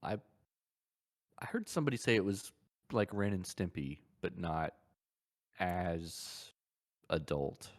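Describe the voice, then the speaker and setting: A man in his thirties with a low voice chats as a podcast co-host. His delivery is casual and laid-back, a little halting. He is recorded dry, without any echo. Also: lively intonation. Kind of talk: casual chat.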